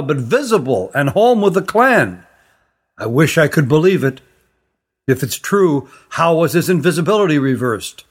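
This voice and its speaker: Old storybook magician, played by a male narrator, musing and doubtful. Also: a little high. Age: older.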